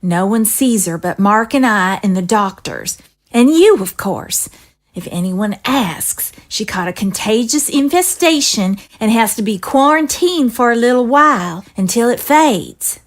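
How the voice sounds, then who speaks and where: A protective mother in an audio drama. Her voice is highish and moves widely in pitch. She sounds anxious and secretive, choosing her words with earnest emphasis. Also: expressive, measured pace.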